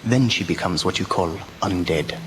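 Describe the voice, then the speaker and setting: Radio drama: commanding older-world professor and vampire hunter, male actor, low register, solemn speeches, stilted phrasing, noisy tape. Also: moderate pace, lightly acted. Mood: solemn, explanatory. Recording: noisy amateur local radio recording.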